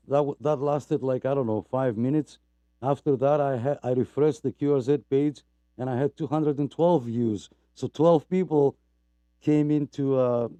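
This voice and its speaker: A man hosting a live radio net, talking in a casual, rambling way with plenty of fillers. His voice is dark and mellow, smooth and resonant, and it sounds very dry and close to the mic. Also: medium pitch, no room sound. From Greece. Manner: rambling, apologetic, chatty with many fillers. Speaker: net host.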